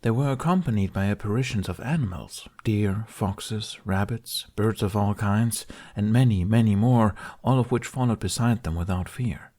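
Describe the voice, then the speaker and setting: A man reading a fantasy story aloud as narrator, in an unhurried, measured way. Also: on the low side, mellow. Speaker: fan fiction audiobook reader.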